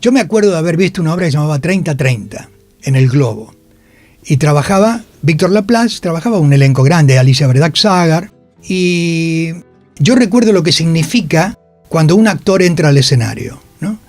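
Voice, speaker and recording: A man's voice: fairly high pitch, lively intonation, moderate pace, darkish tone, dry recording, soft background music. An older man with a Rioplatense Spanish accent, telling anecdotes in a radio interview and acting out the quotes as he goes. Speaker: interviewed actor. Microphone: radio studio microphone.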